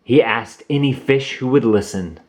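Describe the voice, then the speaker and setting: A man reading a children's storybook aloud in an expressive storytelling manner. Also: mid-range voice, moderate pace. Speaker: storybook reader. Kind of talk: children's read-aloud narration. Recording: roomy echo.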